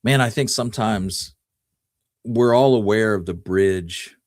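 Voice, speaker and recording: A man's voice: lowish voice, measured pace, dry recording, clean studio sound. A man hosting a talk show, telling history in a casual, conversational way and explaining it in detail. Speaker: podcast host.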